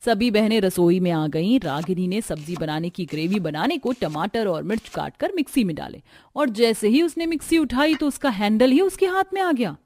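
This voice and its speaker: A woman's voice: mid-range voice, animated, normal speed, a little mellow, dry recording. A woman storyteller reading scripted narration for a cartoon family story.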